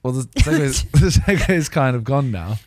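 A man's voice: mid-range voice, animated, brisk. Teasing young man on a podcast. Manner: teasing, spins joking scenarios. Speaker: podcast host. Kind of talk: casual chat. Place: podcast studio. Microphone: boom mic close up.

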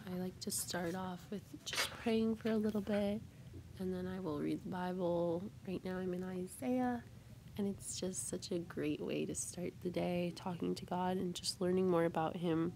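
A young woman narrating her morning routine in a casual, soft-spoken way while getting over a cold. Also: lowish voice, gravelly, slight background noise. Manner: relaxed, soft-spoken, with light laughs. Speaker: vlogger. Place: bedroom at home.

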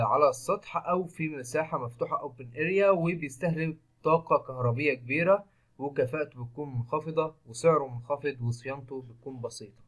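A man with an Egyptian Arabic accent giving a technical lecture over slides, explaining step by step and mixing in English technical terms. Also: on the high side. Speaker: engineering lecturer. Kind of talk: screen-recorded technical lecture.

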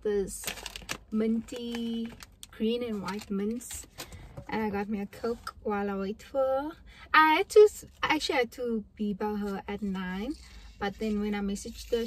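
A woman's voice: medium pitch, poor audio. A young woman talks casually into a phone camera while sitting in a parked car. Her delivery is chatty and rambling, full of small asides and restarts, like a personal vlog. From South Africa. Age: young adult. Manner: chatty, rambling, animated hand gestures. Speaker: vlogger. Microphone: phone camera at arm's length.

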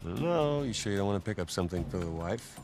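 A man's voice: on the low side, expressive, fast. An actor with an American accent playing a wry, skeptical middle-aged rogue and older brother in a game cutscene, needling as he talks. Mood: wry, teasing. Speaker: video game character. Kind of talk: naturalistic game cutscene dialogue.

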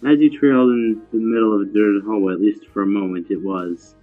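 Guy reading fanfic out loud, jokey comments, lo-fi thin mic, soft guitar music in back. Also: on the low side, steady tone, fairly quick. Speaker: fan fiction reader and commentator. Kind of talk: casual narration with joking asides. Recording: thin, phone-like lo-fi audio.

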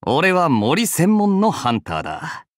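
Young game archer: roguish, wry, teasing slacker, casual drawl, animated intonation, dry studio sound. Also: male voice, a little high, measured pace, no echo. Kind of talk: Japanese mobile game character voice lines.

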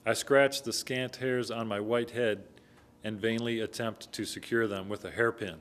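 A middle-aged man reads poetry aloud from paper in a measured, solemn delivery, at a stand microphone indoors. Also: lowish voice, steady tone, fairly bright tone, a little hiss or hum. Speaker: poetry reader. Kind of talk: poetry recitation read from paper. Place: indoor hall with brick walls, before an audience.

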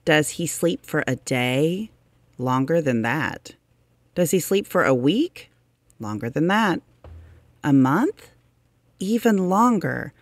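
Slow, deliberate children's book narrator. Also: medium pitch, no room sound. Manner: deliberate, expressive, with drawn-out emphasis. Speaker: narrator reading a children's science book aloud. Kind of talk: read-aloud storybook narration for children.

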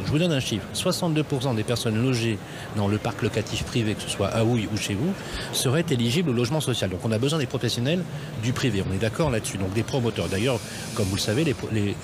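A man hosting a live radio interview with mayors, pressing them with emphatic questions, recorded on a table mic at a trade fair stand. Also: mid-range voice. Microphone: table radio mic with headphones. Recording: noisy trade fair hall.

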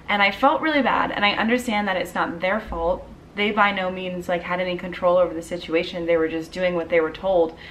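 A woman's voice: on the low side, a bit bright, recorded from a distance, slight background noise, low-quality recording. A young woman in her twenties telling a casual storytime vlog at home, chatty with lots of "like" and "um". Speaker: vlogger. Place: dim living room at home.

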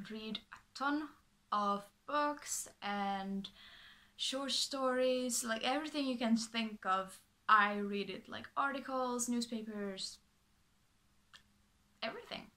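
Bright young Finland Swedish woman vlogging. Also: fairly high pitch. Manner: chatty, bubbly, frequent fillers and restarts. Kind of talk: casual talking to camera, reading from a script. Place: small bedroom, indoors.

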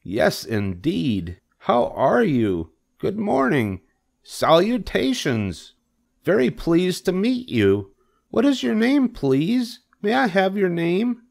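A man voicing an eager young pig in a children's storybook, earnest, excitable and over-polite. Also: high voice, slow pace, vocal fry, pitched above the performer's own voice, exaggerated voice. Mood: eager, delighted.

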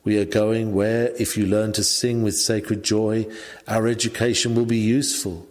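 A man reading an old sermon aloud in a measured, emphatic preaching delivery. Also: on the low side, flat delivery, a little mellow. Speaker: reader of a historical sermon. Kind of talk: sermon reading, scripted oratory. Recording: long hall-like reverb.

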